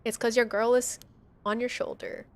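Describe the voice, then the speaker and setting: A woman doing live game commentary as a streamer, slangy and animated, reading on-screen text aloud. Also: fairly high pitch, moderate pace. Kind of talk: live playthrough commentary with dialogue read aloud.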